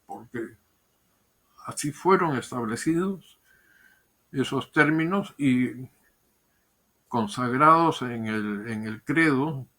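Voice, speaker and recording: An older man, a historian and diplomat, giving measured, thoughtful interview answers with pauses and repeated words. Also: medium pitch, expressive, sharp tone.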